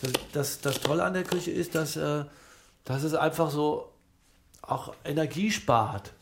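An actor playing an earnest, soothing husband, proud of his home, talking in a halting, matter-of-fact way in improvised radio comedy. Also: on the high side, heavy background noise.

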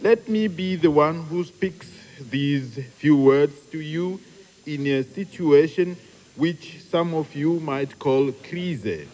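A man's voice: a little high, slow pace, a little mellow. A man acting in a radio play as a solemn, grandiloquent speaker ceremoniously addressing travellers. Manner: ceremonious, oratorical. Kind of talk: theatrical speech in a radio play. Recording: long hall reverb.

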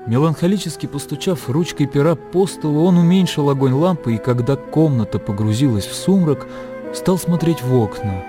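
A man narrating a literary radio drama with measured, scripted storytelling delivery. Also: fairly high pitch, expressive. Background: music and crickets.

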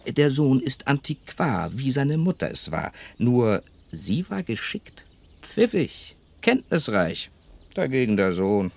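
A man's voice: mid-range voice, big pitch swings, slow, vocal fry, heavily acted. An actor playing a talkative, gossipy elderly man in a radio drama, chatty and theatrical, quoting others vividly. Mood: chatty, amused. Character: talkative elderly Frenchman, gossipy, fond of late neighbour.

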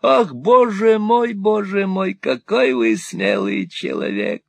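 A sly old moneylender with a high, cackling voice, all wheedling flattery and gushing cries. The sound is dry and close, with no room around it. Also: male voice, takes it slowly, vocal fry, dry recording, heavily acted. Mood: fawning. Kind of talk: audiobook reading.

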